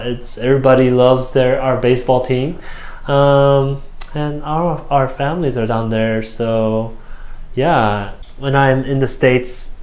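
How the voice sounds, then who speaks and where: A man in his thirties from South Korea, speaking English with a Korean accent as an interview guest, halting with many fillers and restarts. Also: mid-range voice, darkish tone. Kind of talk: casual interview answers. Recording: roomy echo.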